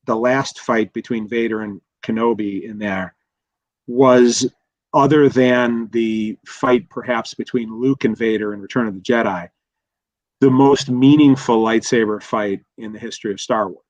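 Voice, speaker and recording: Halting man, dry dull recording. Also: mid-range voice, steady tone, no echo. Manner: halting, repeats words while thinking aloud. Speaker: guest expert. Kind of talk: casual analytical discussion.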